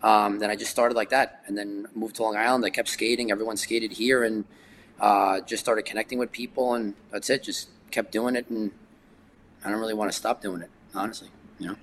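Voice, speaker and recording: A man's voice: lowish voice, fairly even intonation, a little fast, a bit bright, a little hiss or hum, rough recording. A man, a guest telling long stories in a casual chat, full of fillers and restarts. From New York, United States. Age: 40s. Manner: rambling, filler-heavy storytelling. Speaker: guest skateboarder.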